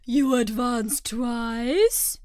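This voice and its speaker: A bossy, excitable beast out of a storybook, acted by a woman, pitched high and drawn out. He calls out his orders loudly and exclaims with gusto, in a close, dry recording. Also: drawn-out words, no echo. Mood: brisk, interjecting. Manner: exclamatory, shouting out instructions. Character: excitable male Gryphon, bossy, loud mythical beast. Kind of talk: storybook character voice.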